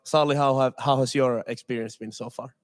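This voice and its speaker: A man's voice: medium pitch, fairly even intonation, a little fast. A young man from Finland moderating a streamed student panel, hesitant with many filler sounds. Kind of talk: panel discussion on a livestream.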